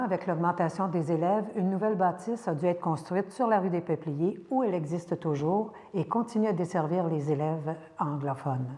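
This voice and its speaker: An older woman with a Quebec French accent, narrating local history in a measured, careful way with occasional hesitations. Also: on the low side, mellow, long reverb tail.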